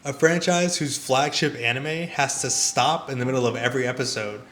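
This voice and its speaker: A man's voice: mid-range voice, moderate pace, fairly bright tone, slight background noise. A man delivering scripted video essay commentary in a conversational, exasperated way with emphatic rhetorical questions. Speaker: video reviewer and host.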